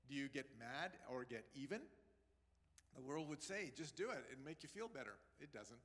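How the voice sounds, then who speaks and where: A man's voice: mid-range voice, animated, normal speed, fairly bright tone. A man in his sixties, born in Pakistan, preaching a sermon in an earnest, conversational way with asides to his congregation. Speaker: pastor. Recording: long hall reverb.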